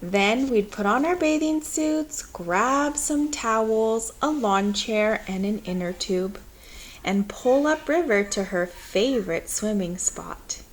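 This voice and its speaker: A woman reading a children's picture book aloud in a measured, expressive storytelling manner. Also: fairly high pitch, a little hiss or hum, low-quality recording.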